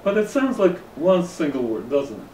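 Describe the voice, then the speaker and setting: A man's voice: on the high side, big pitch swings, normal speed, dark tone, slight background noise. Russian-accented man teaching in an echoey room. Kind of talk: scripted lesson narration. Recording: roomy room echo, somewhat distant mic, faint ambience.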